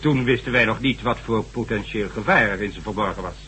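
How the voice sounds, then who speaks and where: A man's voice: on the low side, fairly quick, bright, forceful tone, low-quality recording. An actor playing a stern, sardonic government official in a radio drama, formal and condescending as he lectures. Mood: grave, recounting. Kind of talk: Dutch radio drama acting. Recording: faint hum and noise, old radio recording.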